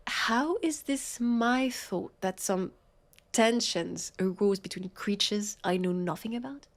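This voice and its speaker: A woman with a French accent playing a weary, sardonic vampire police officer in an audio roleplay, halting and exasperated. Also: a little high, a little hiss or hum. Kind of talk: scripted audio roleplay acting.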